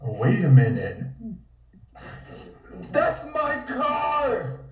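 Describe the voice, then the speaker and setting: A man voicing a pompous, hot-tempered gentleman with theatrical delivery in a table read, on a muffled, roomy connection. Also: sits high, huge pitch swings, extremely slow, recorded from a distance, full character voice. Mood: outraged. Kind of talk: dramatic table read of a comic script.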